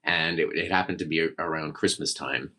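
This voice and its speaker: A man's voice: rumbling low, fairly quick, pushed and bright. A man casually telling a long, self-deprecating story as a guest on a chat show.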